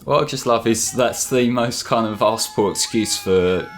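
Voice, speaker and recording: A man's voice: medium pitch, normal speed, slight background noise. A man doing casual, wry gaming commentary with some swearing. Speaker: let's play commentator. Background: game music.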